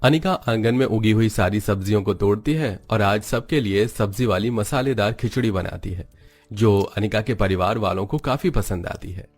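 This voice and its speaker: A man's voice: fairly low pitch, normal speed, a little mellow, soft background music. A man narrating a Hindi cartoon story in a scripted storytelling style.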